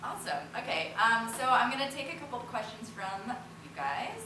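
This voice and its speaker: A woman moderates a discussion in a casual, questioning style. Her intonation is lively, and she is heard at a distance in a heavily echoing room with noise around her. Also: fairly high pitch, brisk. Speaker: panel moderator. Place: indoor room, public post-show discussion. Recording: very echoey, noisy room, far from mic.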